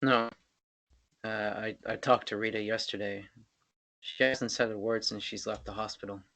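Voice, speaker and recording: Actor as a gentle, hesitant man, crisp tone. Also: lowish voice, steady tone. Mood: worried. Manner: halting, soft-spoken. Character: gentle, hesitant, heartbroken man comforting his partner. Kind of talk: acted screenplay table read.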